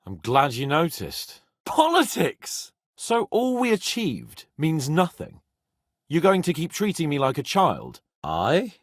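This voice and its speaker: Snooty hotheaded young noble for a medieval game, petulant, super animated pitch, close dry recording. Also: male voice, slow, no room sound, heavily acted. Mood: subdued, pensive, then anxious, sulky. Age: young adult. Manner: petulant, haughty, exclamatory. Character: haughty, hot-tempered young medieval nobleman. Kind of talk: video game voice acting.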